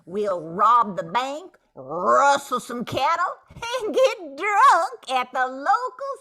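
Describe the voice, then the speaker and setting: Older woman plays bossy cowgirl outlaw boss; slow, theatrical, very animated, radio western comedy. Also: high voice, exaggerated voice. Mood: commanding. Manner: drawn-out, commanding, theatrical. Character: bossy, flirtatious female Wild West gang leader. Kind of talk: amateur old-time radio comedy acting.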